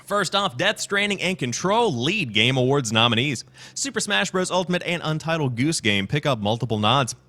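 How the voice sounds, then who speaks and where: Male gaming news presenter: slightly high, very animated voice, emphatic and polished delivery. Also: moderate pace. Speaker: host of a gaming news show. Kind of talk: upbeat scripted news show presenting with commentary.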